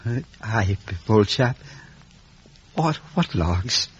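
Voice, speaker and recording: An actor playing a gentle, loyal, simple old blacksmith with a soothing, folksy manner in a 1950s radio drama. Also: male voice, lowish voice, animated, slow pace, loud music behind the voice. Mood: wistful. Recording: noisy, muffled vintage radio recording.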